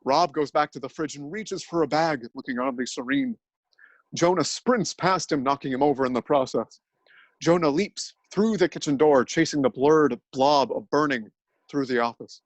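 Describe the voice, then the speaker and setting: A man narrates a scripted dramatic reading in an earnest, deadpan manner. His voice sits a little high for a man. The recording is close and dry, with no room echo. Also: moderate pace. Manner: earnest, deadpan telling of absurd events.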